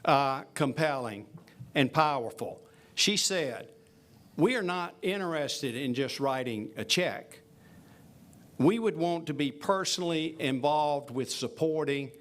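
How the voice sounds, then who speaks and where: A man on a university faculty giving a ceremonial speech, telling a story with some halting fillers and restarts. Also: fairly high pitch.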